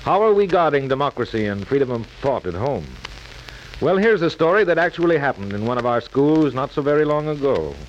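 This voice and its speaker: A man's voice: medium pitch, sing-song, brisk, natural voice. A man announcing in 1940s radio style. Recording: muffled, crackly 1940s radio recording.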